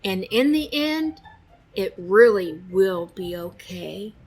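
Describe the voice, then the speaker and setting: A woman reading a children's storybook aloud in an expressive, deliberate storytelling style, close on a studio microphone. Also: medium pitch, breathy edge. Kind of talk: expressive read-aloud narration. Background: faint music.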